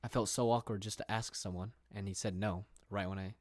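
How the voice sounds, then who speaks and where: A man's voice: fairly low pitch, steady tone, a little fast, dry recording. A young man from England chatting casually on a livestream from his room, rambling with fillers and reading viewer questions aloud. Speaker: streamer.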